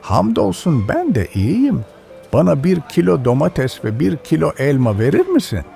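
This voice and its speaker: A man's voice: on the high side, wildly swooping pitch, normal speed, vocal fry, soft background music, exaggerated voice. An actor playing a kind, pious old village grandfather who teaches patiently in a children's cartoon. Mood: friendly, caring. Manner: instructive, gentle moralizing. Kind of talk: educational children's cartoon dialogue.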